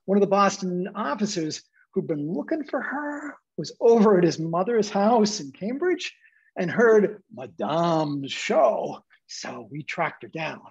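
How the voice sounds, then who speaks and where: A husky 1920s cop in a radio drama, played by an actor, worn down and pleading. The sound is dull and lo-fi. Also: medium pitch, big pitch swings, unhurried, full character voice. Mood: brusque, weary. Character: exasperated, pleading 1920s police officer. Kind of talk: radio play acting.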